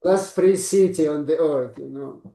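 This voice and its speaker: A man with a Russian accent, a native Russian speaker, talking haltingly in a casual interview as a psychiatrist guest. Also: on the high side, vocal fry, mellow, echoey room, distant mic, poor audio. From born in Ukraine, raised in Russia. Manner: halting, frequent "uh" and "you know", broken English grammar.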